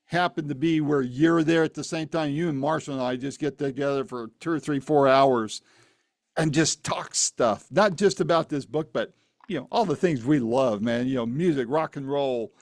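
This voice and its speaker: A man's voice: medium pitch, moderate pace, dry recording, studio quality. A man from the Phoenix area hosting an interview, friendly and chatty, often saying "man". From Phoenix, Arizona area. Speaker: interview host. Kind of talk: casual interview conversation.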